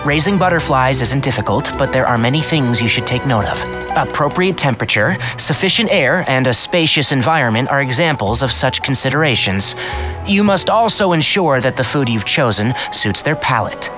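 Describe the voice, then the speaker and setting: Man acting a lively, precise young naturalist. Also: medium pitch, normal speed, music in the background, lightly acted. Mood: calm, instructive. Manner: precise, articulate, lecturing. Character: calm, knowledgeable young male naturalist scholar. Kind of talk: game voice acting.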